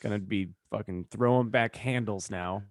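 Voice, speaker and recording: A man's voice: on the low side, normal speed, dry recording, studio quality. A man chatting casually on a comedy podcast, wry and profane in his banter with co-hosts. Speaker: podcast co-host. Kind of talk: casual comedic group chat.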